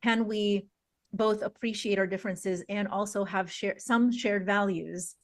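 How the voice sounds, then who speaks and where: A woman's voice: medium pitch, fairly even intonation, measured pace. Woman hosting a talk show. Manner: thinks aloud with many "um" fillers. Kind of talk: conversational interviewing.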